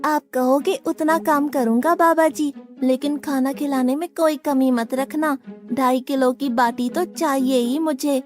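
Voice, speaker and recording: A high-voiced village lad played by a woman from an animated moral tale. He is simple, stubborn and blunt, forever bargaining like someone who loves his food. Music plays softly behind the voice. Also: unhurried. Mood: questioning. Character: naive, big-eating, stubborn young village lad Adhaiya. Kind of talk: animated moral story dialogue in Hindi.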